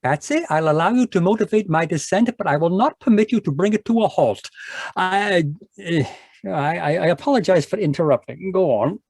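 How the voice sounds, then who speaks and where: A man's voice: high-pitched, lively intonation, normal speed, vocal fry, darkish tone, no room sound, studio quality, full character voice. A man playing a genial, boozy ex-doctor sidekick in a 1940s-style radio drama, talking in a florid, rambling, mock-dignified way. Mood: tipsy, grandiloquent. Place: live remote video-call performance.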